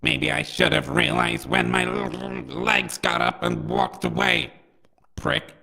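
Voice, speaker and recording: A man playing a dying, sardonic android doomsayer in an audio comedy, raspy, bitter and insulting. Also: sits high, slow, sharp tone, heavily acted. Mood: bitter, sarcastic. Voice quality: raspy, croaking. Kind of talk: scripted audio comedy acting.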